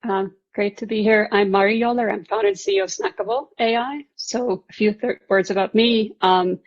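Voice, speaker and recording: A woman's voice: medium pitch, fairly quick, dull audio. A woman from Estonia who is a startup founder, introducing herself and her work in a professional panel presentation.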